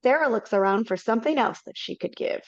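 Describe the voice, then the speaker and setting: A woman narrating stage directions in a brisk, matter-of-fact way, over slightly dull video-call audio. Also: fairly high pitch, expressive, no room sound.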